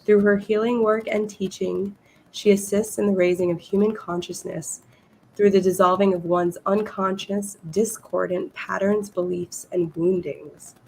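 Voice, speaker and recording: A woman hosting a podcast interview, a little hoarse and losing her voice, chatting eagerly with her guest. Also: medium pitch, little pitch movement, measured pace, a little mellow, slight background noise, low-quality recording. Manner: enthusiastic, reads guest bio aloud. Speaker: podcast host. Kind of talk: casual interview.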